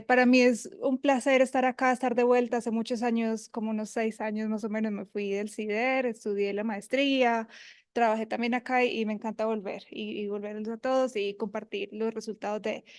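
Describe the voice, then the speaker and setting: Colombian woman, guest researcher, friendly talk with fillers, higher voice, dry close mic. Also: no room sound. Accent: Colombian, from Armenia (coffee region). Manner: friendly, with many fillers and restarts. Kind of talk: academic presentation to an audience. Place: university seminar room.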